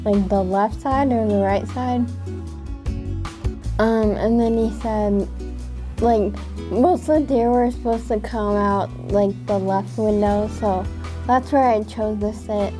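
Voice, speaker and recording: Teen girl hunter, slow and hesitant, filler-heavy, guitar music underneath. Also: medium pitch, steady tone. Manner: halting, with many likes and ums. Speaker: young hunter. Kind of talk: casual interview.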